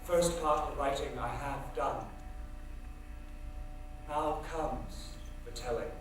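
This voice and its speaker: An actor playing a brisk, commanding young theatre man, declaiming dramatically on stage. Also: mid-range voice, natural voice. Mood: solemn. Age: young adult. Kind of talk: theatrical stage acting, projected storytelling. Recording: echoey theatre, far mic, faint noise.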